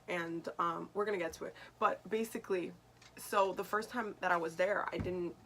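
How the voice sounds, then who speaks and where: A young woman, raspy from just waking up, tells a story to her channel in a chatty, meandering way and often corrects herself mid-sentence. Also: fairly low pitch, fairly bright tone, a little hiss or hum, poor audio. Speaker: vlogger and spiritual medium. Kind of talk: casual storytelling to camera.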